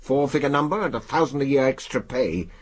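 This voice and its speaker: An English actor in a radio drama playing a brusque older spy chief, clipped, gruff and commanding. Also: male voice, medium pitch, a little fast, lightly acted. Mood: brisk, confidential.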